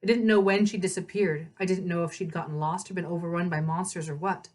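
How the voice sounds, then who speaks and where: A woman reading a story aloud as narrator, in an expressive storybook style. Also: fairly low pitch, fast talker.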